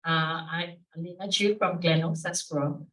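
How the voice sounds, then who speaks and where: A woman's voice: on the low side, steady tone, a little slow, a bit bright. A woman in her fifties from Peru, a native Spanish speaker, giving a chatty, informal self-introduction at an association meeting, with many fillers and restarts. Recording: echoey room from a distance.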